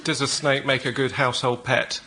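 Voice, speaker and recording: A man, a member of the public pitching an idea on a comedy show. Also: flat delivery, normal speed. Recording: live auditorium, slight background noise.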